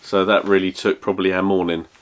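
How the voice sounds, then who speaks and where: A man's voice: deep voice, flat delivery. A man, chatting casually as a travel vlogger, rambling with many restarts and fillers. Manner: measured, rambling, lots of fillers and self-corrections. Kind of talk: casual chat.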